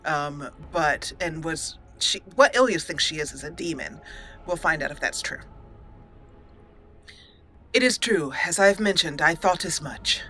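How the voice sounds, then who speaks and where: A woman's voice: deep voice, expressive, measured pace, pauses often, pushed and bright. A woman hosting a let's play, commenting casually and thinking aloud as she plays. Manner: chatty, thinking aloud with fillers and laughs.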